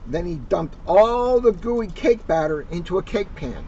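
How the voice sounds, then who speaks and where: Fairly high-voiced man reading a storybook. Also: lively intonation, measured pace, dark tone. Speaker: police officer reading a children's book. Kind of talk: read-aloud storytelling with casual asides. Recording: muffled, distant mic, clear background noise.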